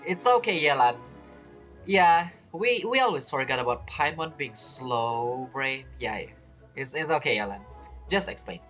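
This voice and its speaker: Casual gameplay commentator, lively pitch swings, halting non-native phrasing, faint music behind. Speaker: video game streamer. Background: game music.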